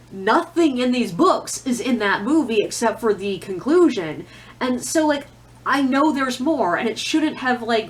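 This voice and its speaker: A woman's voice: high-pitched, fairly bright tone. A woman giving a casual, chatty monologue as a book reviewer, opinionated and conversational, with self-deprecating asides.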